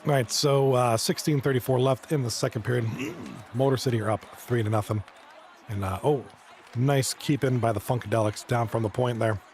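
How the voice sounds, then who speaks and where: A man with a gravelly, croaky voice that is partly gone, calling hockey video game action live and chatting easily with his viewers. He sounds hoarse and relaxed, and there is a faint hiss of noise behind him. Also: medium pitch, brisk. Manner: casual, chatty, talks to chat viewers. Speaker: esports league commentator. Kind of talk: live stream play-by-play and chat reading.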